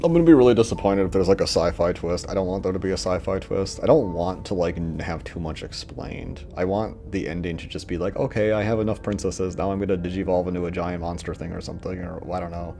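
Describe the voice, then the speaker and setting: Deep-voiced rambling gaming guy. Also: fast, vocal fry, few pauses, dark tone, faint music behind. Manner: rambling, crude, full of fillers. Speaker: let's play streamer. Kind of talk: casual gameplay commentary.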